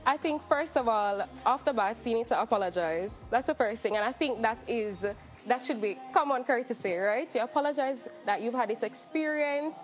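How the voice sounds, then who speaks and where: Jamaican woman guest, calm advice, a bit high voice. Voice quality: measured, advising. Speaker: customer service guest. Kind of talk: studio advice talk.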